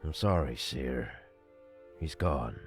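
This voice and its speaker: A man voicing a weary, remorseful creature who was once a soldier, pleading gravely in a visual novel. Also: rumbling low, takes it slowly, soft background music, pitched below the performer's own voice. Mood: sorrowful, earnest.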